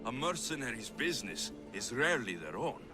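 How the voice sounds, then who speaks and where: A man acts a confident mercenary hero in a video game set in ancient Greece. He is wry and businesslike, with a medium-pitched voice and very flexible intonation. Music plays clearly behind him. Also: sing-song, brisk, lightly acted. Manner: dry, sardonic, businesslike. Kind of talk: video game dialogue acting.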